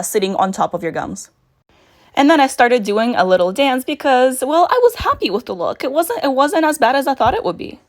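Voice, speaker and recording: A young woman with a raspy voice, narrating a makeup tutorial in a chatty voice-over with storytelling asides. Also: on the high side. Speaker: makeup vlogger.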